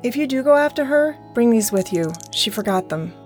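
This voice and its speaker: A woman as a serious, high-voiced camp survivor. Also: lively intonation, darkish tone, faint music behind, lightly acted. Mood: resigned. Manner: measured, serious, reasoning. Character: conflicted, level-headed female friend in a survivor camp. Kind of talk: naturalistic audio drama acting.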